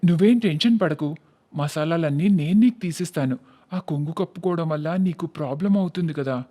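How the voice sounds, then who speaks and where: A man's voice: a little high, quick pace, lightly acted. A man voicing a kind, caring young husband in a cartoon story. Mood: gentle. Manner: gentle, reassuring.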